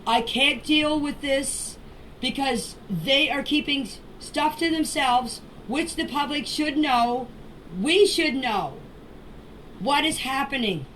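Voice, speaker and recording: A mature woman giving an informal spoken statement in a deliberate, halting way, in short phrases, as a voice message. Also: sits high, a bit bright. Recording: distant noisy amateur voice clips.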